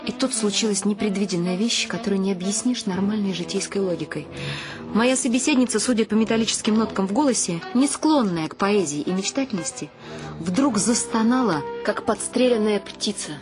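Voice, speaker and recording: Wry female writer, played by an actress, radio play storytelling, mid-range voice, expressive, music underneath. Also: mid-range voice, a little fast, lightly acted. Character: wry, ironic woman writer narrating her married life.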